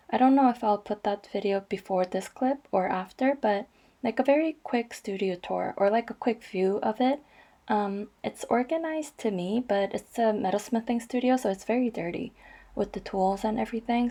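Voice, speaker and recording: A woman narrating her cross-stitch vlog in a chatty, rambling way, her voice a little raspy and stuffy from allergies. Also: mid-range voice, warm tone. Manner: chatty, rambling, frequent ums. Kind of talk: casual voice-over vlog narration.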